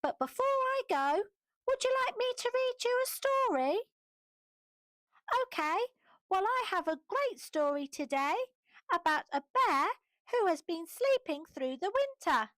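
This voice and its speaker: A woman with an English accent reading a bedtime story to toddlers in a measured, deliberate storybook delivery. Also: very high voice, big pitch swings, a bit bright. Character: dog storyteller who reads picture books to small children. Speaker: children's storyteller. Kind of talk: slow read-aloud bedtime story for toddlers.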